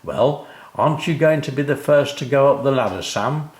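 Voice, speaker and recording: A man voicing a calm, reassuring father in a children's story. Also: medium pitch, normal speed, roomy sound, pitched above the performer's own voice, natural voice. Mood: encouraging.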